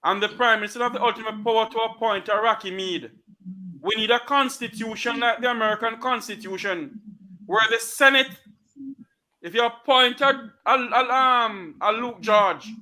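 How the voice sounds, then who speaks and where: A Jamaican man hosts an online talk show, cutting in to steer the discussion. His voice sits high and sounds bright and sharp. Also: moderate pace, poor audio. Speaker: host.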